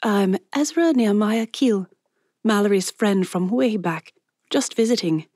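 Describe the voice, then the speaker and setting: Lady narrator doing bold chatty young gal, confident and clear, audiobook character, dry sound. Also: medium pitch, unhurried, darkish tone, no echo, voice pushed up, lightly acted. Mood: breezy, friendly. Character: bold, chatty young woman with exotic accent.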